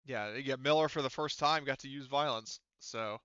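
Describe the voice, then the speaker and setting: A man acting as game master in casual tabletop talk, haltingly thinking aloud as he explains. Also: mid-range voice, sharp tone, smooth, dry recording, lo-fi sound.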